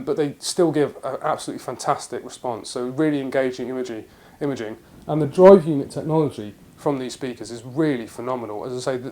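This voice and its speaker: A man's voice: medium pitch, dark tone. A British man presenting a product review in an explanatory, matter-of-fact way, partly scripted.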